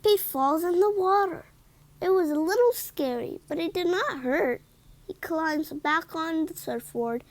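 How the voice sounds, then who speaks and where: A woman reading a children's picture book aloud in an expressive, deliberate manner. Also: very high-pitched, no room sound. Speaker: read-aloud storyteller.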